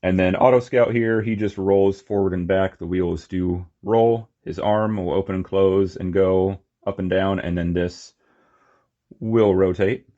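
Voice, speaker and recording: Deep voiced guy doing an off the cuff collector review, kinda rambles to himself, muffled lo-fi audio. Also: steady tone, measured pace, warm tone. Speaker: toy collector reviewing figures. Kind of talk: casual unscripted hands-on review.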